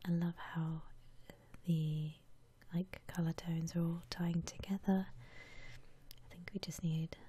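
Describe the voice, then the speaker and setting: A woman whispering softly and breathily as she muses aloud through ASMR game commentary. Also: on the low side, breathy edge. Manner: unhurried, soft-spoken musing aloud. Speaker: host of an ASMR gaming channel.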